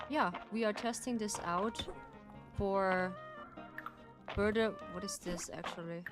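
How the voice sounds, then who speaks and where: A young woman from Turkey streams a game and talks in a slow, unhurried way, often restarting her sentences as she thinks out loud. Her pitch sits in a middle range, and her English carries a first-language feel. Loud game music plays behind her the whole time. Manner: chatty, halting, thinks aloud with many restarts. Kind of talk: casual gameplay commentary.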